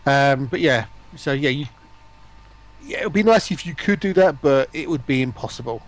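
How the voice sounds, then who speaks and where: A man with a medium-pitched voice chats as a guest on a gaming livestream. His delivery is casual, conversational banter. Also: animated, a little fast.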